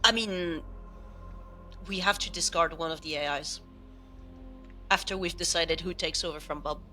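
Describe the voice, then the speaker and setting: Actress as doubtful female team member, sharp bright tone, questioning, hesitant phrasing, audio drama, faint music. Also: fairly low pitch, moderate pace. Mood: probing, suspicious. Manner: hesitant, searching for words.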